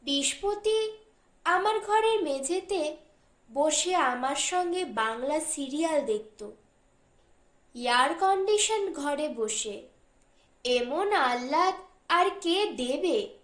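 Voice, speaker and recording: A woman narrator voicing a strict, scornful elder sister in an audiobook story, sharp-tongued and dismissive, in an echoing room. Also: sits high, animated, slow pace, pauses often. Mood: aggrieved.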